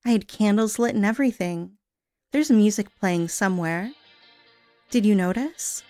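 A woman voicing an unstable, obsessive young woman in an audio roleplay, speaking in a breathy, sing-song coo that turns menacing. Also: mid-range voice, expressive, normal speed, darkish tone, dry recording, faint music behind, natural voice. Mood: sweet creepy.

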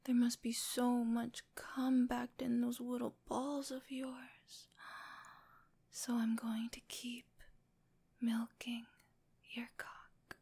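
Whispering woman, breathy, slow and high. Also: little pitch movement, dark tone. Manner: slow, soothing, coaxing, affectionate. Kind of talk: intimate whispered ASMR erotic roleplay.

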